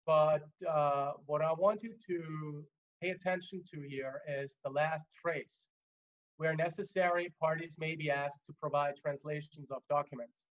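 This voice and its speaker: Male lecturer, German native, slightly high voice, measured with fillers, muffled webinar sound. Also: fairly even intonation. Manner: measured, many fillers and restarts. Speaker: legal trainer. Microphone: video call.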